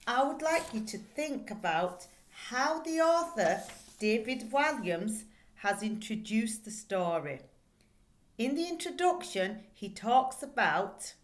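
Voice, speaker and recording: A middle-aged woman teaching, reading a children's book aloud in a deliberate, expressive way and putting questions to her listeners. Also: medium pitch. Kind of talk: read-aloud lesson for pupils, reading and explaining a children's book. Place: small plain indoor room. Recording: echoey, roomy indoor recording.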